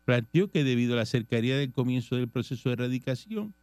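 A man's voice: mid-range voice, expressive, fast, a little mellow, no echo. A Puerto Rican man hosting a casual radio talk show, reading political news and commenting on it in conversation. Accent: Puerto Rican Spanish. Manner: reads news items and comments on them conversationally.

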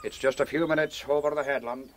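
An actor playing a pious, formally courteous Scottish church minister in a radio drama. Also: male voice, medium pitch, steady tone, quick pace, close to an everyday voice. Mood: earnest. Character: pious, patronising Scottish island minister, male.

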